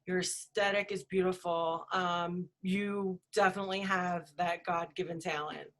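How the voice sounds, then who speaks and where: A woman's voice: lowish voice, steady tone, unhurried. A woman hosting a casual interview, asking friendly, encouraging questions. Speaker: interview host.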